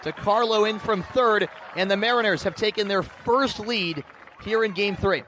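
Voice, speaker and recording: A man doing live baseball play-by-play commentary, narrating pitch by pitch in short, clipped phrases. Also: normal speed, pushed and bright. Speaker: play-by-play announcer. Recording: clear outdoor ballpark background noise. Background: outdoor ambient noise.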